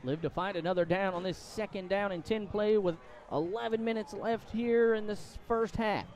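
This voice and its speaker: A man doing excitable live play-by-play commentary of a high school football game, outdoors at the stadium. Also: moderate pace. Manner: excitable, voice climbs to shouts on big plays. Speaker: play-by-play sports announcer.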